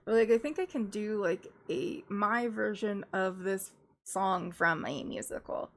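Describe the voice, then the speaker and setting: A woman live-streaming her reactions to music, talking at an unhurried, drawn-out pace. Her voice has a gravelly, fry-edged texture as she rambles through her thoughts, peppered with fillers and quick laughs. The talk is loose and conversational. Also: medium pitch. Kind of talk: casual live-stream commentary.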